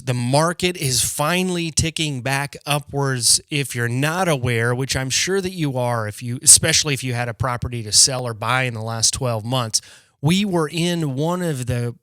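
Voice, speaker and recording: A man's voice: medium pitch, a bit bright, clear, resonant tone, no room sound. A man hosting a real estate podcast, giving a casual, plain-spoken market update and explaining things as he goes.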